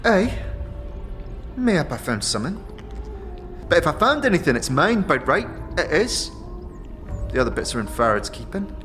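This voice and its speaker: A man's voice: fairly high pitch, sing-song, normal speed, long pauses, a bit bright, soft background music. A man voicing a wary, greedy, sneering female tiefling thief in an audio drama, full of colloquial slang. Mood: wary, grudging. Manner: colloquial thieves' slang with inverted, repeated tag phrases. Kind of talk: audio drama character acting.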